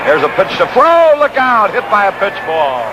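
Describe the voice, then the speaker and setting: High-pitched American baseball announcer, noisy old radio. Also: male voice, huge pitch swings. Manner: clipped, punchy phrases with pauses between plays. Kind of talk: live radio baseball commentary. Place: outdoor ballpark broadcast booth. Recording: noisy, muffled 1960s AM radio broadcast.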